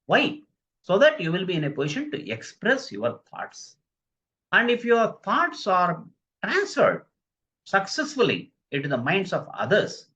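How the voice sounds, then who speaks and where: A man's voice: sits high, sharp tone. A man giving a live online lecture on communication skills, stressing key phrases, repeating himself and putting questions to his viewers. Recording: dull lo-fi recording.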